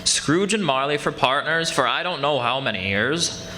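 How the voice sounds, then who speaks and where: An actor narrating a Christmas radio play as a dramatic storyteller, emphatic and theatrical, savoring each word. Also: male voice, on the high side, lively intonation, moderate pace, sharp tone. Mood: wry, emphatic. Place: stage before a live audience.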